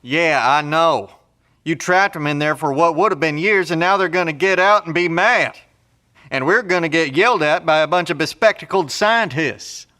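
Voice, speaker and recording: A man's voice: on the high side, normal speed, bright, forceful tone. A man playing a blunt, folksy, skeptical post-apocalyptic survivor in an audio drama. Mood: plainspoken, skeptical. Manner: folksy, colloquial, drawling phrases.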